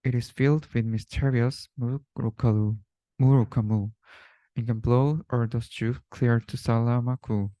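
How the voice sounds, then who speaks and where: A man's voice: mid-range voice, fairly even intonation, a little slow, mellow, no room sound. A young man reading a rhyming children's storybook aloud. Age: young adult. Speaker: student reader.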